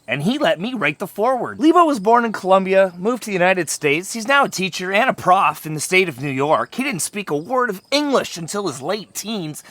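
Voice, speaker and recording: A man hosts his channel with a lively, sing-song read of a scripted introduction. His voice sits a touch high, and the sound is close and dry, with no room around it. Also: no echo. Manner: upbeat presenter reading a script. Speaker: channel host, educator.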